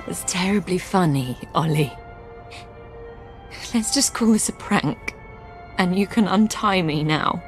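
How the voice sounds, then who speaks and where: A woman's voice: fairly low pitch, slow, pauses often, music in the background. An actress playing a desperate, imperious older woman in a video game, genteel and unhinged. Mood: wheedling, sly.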